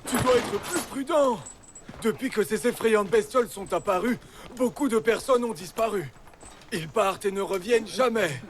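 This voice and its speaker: A man playing a cautious, worried young recruit in a French-dubbed animated film, speaking in a cautioning, pleading way. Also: high voice, moderate pace, a little hiss or hum.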